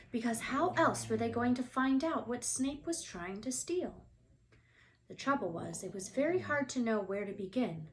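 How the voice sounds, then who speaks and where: A woman reading a children's book aloud in an expressive storytelling style. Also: on the high side, slight background noise, low-quality recording.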